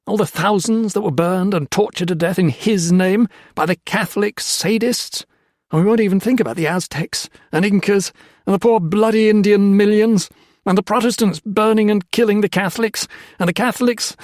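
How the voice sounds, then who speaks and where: A man reading a story voices a young officer who rants and shouts in hysterical fury. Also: high-pitched, no echo, clean studio sound, pitched above the performer's own voice, natural voice. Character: young British officer, feverish, furious, ranting.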